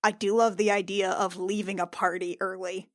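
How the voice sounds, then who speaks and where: A woman's voice: mid-range voice, level tone, moderate pace, no room sound, studio quality. A woman playing a tabletop roleplaying game, chatting informally and thinking aloud as she goes. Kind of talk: casual chat during a roleplaying game.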